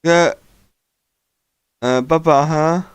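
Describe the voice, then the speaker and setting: A man streaming a visual novel, casually reading and translating game text aloud in a halting, hesitant way. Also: fairly high pitch, steady tone, clear, resonant tone, dry recording. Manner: hesitant, halting, with small chuckles.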